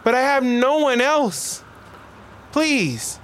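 A naive young alien, earnest and eager in an audio drama. The voice is high and swooping but takes its time, with a literal, puzzled way of talking. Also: female voice, lively intonation, slow. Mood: pleading.

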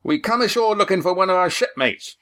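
A man voicing a huge, loud, boisterous sailor with rustic nautical speech in an audiobook story. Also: animated, brisk. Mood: hearty, demanding. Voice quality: loud, booming. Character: huge-voiced boisterous sailor leader, rough and seafaring.

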